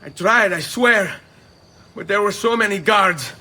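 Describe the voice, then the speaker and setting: A man's voice: high voice, animated, unhurried, bright, forceful tone, heavily acted. An actor playing an impetuous, earnest young nobleman in a video game, urgent and intense. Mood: shaken, urgent. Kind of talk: dramatic video game cutscene acting.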